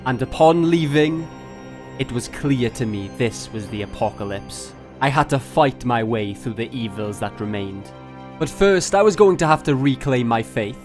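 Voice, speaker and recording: A man's voice: medium pitch, lively intonation, normal speed, faint music behind. A man narrating a video game challenge run as scripted commentary, playful and mock-grandiose with jokey asides.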